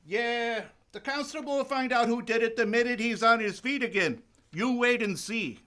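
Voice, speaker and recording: An actor playing an irritable, rattled small-town doctor in a radio play, scolding and testy. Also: male voice, very high voice, moderate pace, bright, forceful tone.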